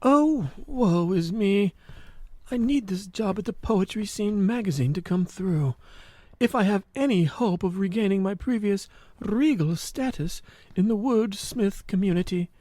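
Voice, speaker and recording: A man's voice: sits high, lively intonation, measured pace, a little mellow, no room sound. A man voicing a timid, woeful old frog poet in a comic radio drama, theatrical and easily flustered into stammering.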